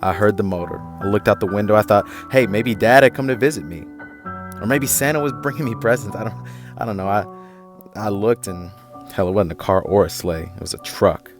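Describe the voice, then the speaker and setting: An actor playing a defiant, streetwise young activist in an audio drama, with a sardonic, informal way of talking. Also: male voice, fairly low pitch, brisk, soft background music, natural voice. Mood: reminiscing. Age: young adult.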